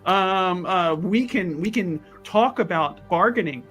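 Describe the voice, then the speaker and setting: A man playing a pompous, higher-voiced adventurer mage. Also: fairly bright tone, soft background music. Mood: condescending. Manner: pompous, wordy, halting mid-sentence. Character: pompous, smooth-talking, condescending male adventurer mage.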